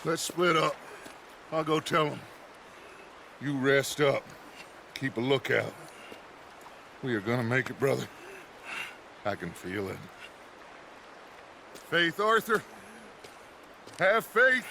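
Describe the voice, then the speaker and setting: Slow, grandiose outlaw gang leader. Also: male voice, mid-range voice, very animated, creaky, sharp tone, slight background noise, exaggerated voice. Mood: rousing, hopeful. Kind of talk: video game cutscene acting.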